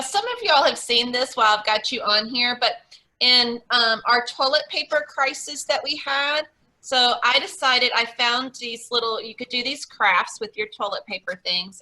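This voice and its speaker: Woman, meetup host, bright and fairly high, rambling and chatty, on a video call. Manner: chatty, rambling, many fillers and restarts. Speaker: online English teacher and meetup host. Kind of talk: casual video call chat.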